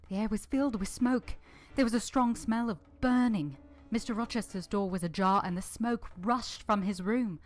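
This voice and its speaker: A woman playing a quiet, plain-spoken young governess in a vintage-style radio drama, earnest and measured, both in dialogue and first-person narration. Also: medium pitch, soft background music, lightly acted. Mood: alarmed, urgent.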